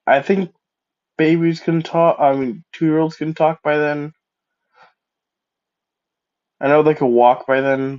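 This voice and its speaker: A man's voice: medium pitch, a little mellow, clean tone. A man with a cold and a stuffed-up nose, telling a fan story in a rambling, casual way and often correcting himself. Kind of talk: improvised fan-fiction storytelling. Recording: dull lo-fi mic.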